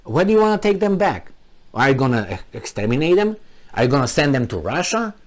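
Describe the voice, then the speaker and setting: A man's voice: on the high side, big pitch swings, fairly quick. A man with a Romanian accent, originally from Transylvania, delivering an argumentative political monologue full of rhetorical questions. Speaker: commentary channel host.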